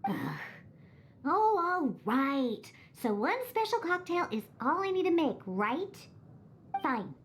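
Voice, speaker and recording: A woman's voice: high voice, very animated, slow pace, slight background noise, exaggerated voice. A woman voicing a grumpy young catgirl bartender in a video game, huffy, haughty and sulky. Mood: grudging, huffy. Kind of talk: animated game voice acting. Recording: music behind the voice.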